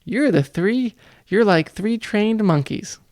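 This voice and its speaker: Pompous school vice principal, dismissive and jeering, higher-pitched male voice, close and dry, acted by a man reading a storybook. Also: lively intonation, takes it slowly, a little mellow, no echo. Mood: mocking glee. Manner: exclaiming, sneering, jeering.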